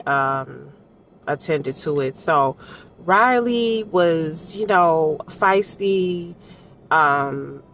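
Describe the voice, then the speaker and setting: A woman giving casual, unscripted commentary in an animated, colloquial way, repeating phrases for emphasis and checking in with the listener. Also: low voice, slight background noise. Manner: chatty, often checks in with the listener. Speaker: audiobook reviewer giving personal commentary.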